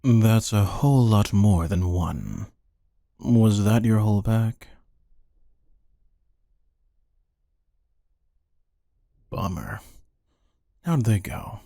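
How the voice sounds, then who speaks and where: A man acts out a cold-blooded killer interrogating a captive in an ASMR roleplay. His voice is deep and mellow, with a slow, deadpan delivery. He taunts with dry, menacing amusement. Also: gravelly, no room sound. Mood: mocking. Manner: deadpan, taunting, unhurried with musing pauses. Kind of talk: scripted ASMR roleplay monologue.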